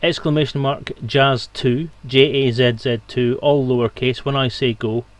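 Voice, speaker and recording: Guy running a stream, mid pitched, swears a lot and teases. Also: fairly even intonation, moderate pace, dry recording. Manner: sweary, teasing. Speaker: stream host. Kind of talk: casual livestream hosting.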